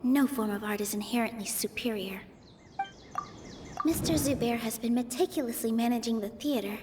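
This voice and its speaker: A woman's voice: on the high side, moderate pace, noisy background, lightly acted. A woman voicing an earnest, encouraging young girl companion in scripted game dialogue. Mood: earnest, gentle.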